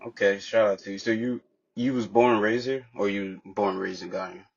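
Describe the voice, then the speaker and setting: A man's voice: fairly low pitch, fairly even intonation, a little fast, vocal fry, slightly rough. A man hosting a podcast, opening with a hyped intro and then asking casual interview questions, repeating catchphrases. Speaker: podcast host. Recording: dull lo-fi mic.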